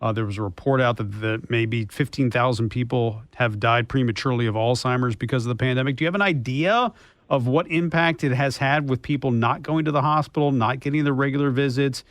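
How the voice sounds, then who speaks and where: A man's voice: medium pitch, steady tone, fairly quick, dry recording. A man hosting a radio show, interviewing in a conversational news-talk style.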